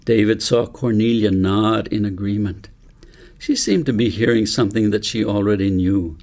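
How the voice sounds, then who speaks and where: Low, creaky-voiced man reading a story. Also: steady tone, moderate pace, darkish tone. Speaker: author reading own book aloud. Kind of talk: audiobook narration of a children's story.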